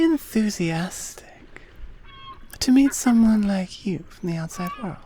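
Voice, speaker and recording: A woman playing a regal, centuries-old Amazon queen in an ASMR roleplay, speaking softly and formally with deliberate pauses. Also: medium pitch, creaky, slight background noise. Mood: gracious, pleased. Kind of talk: ASMR roleplay audio drama, addressed to the listener.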